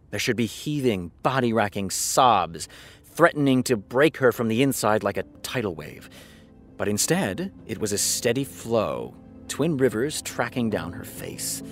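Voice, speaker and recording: A man playing a wry, self-critical writer who reads dramatic prose aloud with brooding delivery and muttered asides in an audio drama. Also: on the low side.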